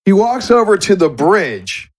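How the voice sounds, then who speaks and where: A man narrating a tabletop game as game master, in halting, descriptive storytelling. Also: sits high, very animated. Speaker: game master and show host.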